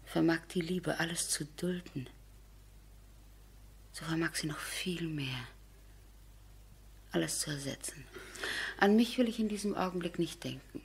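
A self-denying noblewoman, grieving yet resolute. Her low voice is extremely breathy, often sinking toward a whisper, in classic radio drama style. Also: a little slow, long pauses, full character voice. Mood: anguished, resolute. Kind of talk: German radio play acting.

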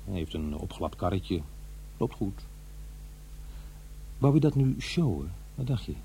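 A man's voice: low-pitched, big pitch swings, a little slow, dark tone, slight background noise, full character voice, low-quality recording. An actor playing a middle-aged divorced father who muses wryly over his memories in a radio drama. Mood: musing, wry. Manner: musing, hesitant, talking to himself. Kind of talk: radio drama monologue, conversational and introspective.

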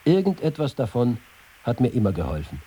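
Wry worldly reporter, radio documentary quotation, medium pitch, expressive intonation, deliberate, mellow. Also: male voice, over loud music. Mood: wry, declarative. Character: worldly, wry male reporter listing his identities.

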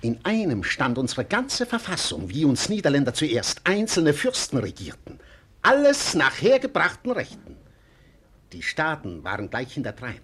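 Sly pedantic agitator, highish husky voice, haranguing townsfolk rhetorically in vintage radio-play style. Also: male voice, huge pitch swings, normal speed, a bit bright, heavily acted. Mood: lecturing, insistent. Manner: lecturing, insistent, rhetorical. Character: rabble-rousing clerk, sly, pedantic and agitating. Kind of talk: theatrical 1940s German radio play acting.

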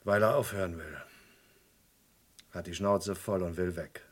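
An actor playing a secretive underworld informant in a German radio crime play, clipped, guarded and matter-of-fact. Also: male voice, sits low, measured pace. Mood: insistent.

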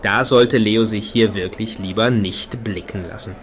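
Casting: male actor as sober, critical night-club guest, slightly low voice, matter-of-fact radio drama. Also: fairly even intonation, measured pace, lightly acted. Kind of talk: radio play acting.

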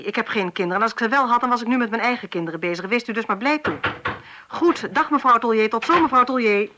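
An actress playing a brisk, sardonic, no-nonsense police inspector in a radio drama, with a dry, sarcastic manner. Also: female voice, a little high, sharp tone. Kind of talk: Dutch radio drama acting. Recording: radio play with faint background noise.

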